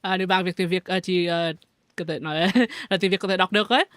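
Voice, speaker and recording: A woman's voice: on the low side, steady tone, quick pace, dry recording. A young American woman chatting casually while streaming a game, reading chat aloud, giggling often and rambling through her sentences. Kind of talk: casual gameplay livestream chat.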